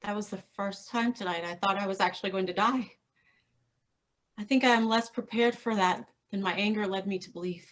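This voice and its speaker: Woman voicing a weary earnest heroine, reflective, mid-pitched, staged reading. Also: brisk, lightly acted. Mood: shaken.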